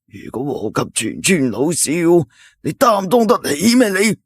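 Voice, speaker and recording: A man doing a husky stern old village elder. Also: on the high side, wildly swooping pitch, unhurried, dry recording, pitched above the performer's own voice, heavily acted. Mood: scolding. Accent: Cantonese.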